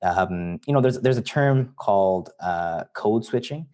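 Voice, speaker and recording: A young man from Canada, telling stories as a podcast guest in a relaxed, chatty way. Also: fairly low pitch, a little slow. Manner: relaxed, chatty storyteller, many likes and you-knows. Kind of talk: casual interview chat.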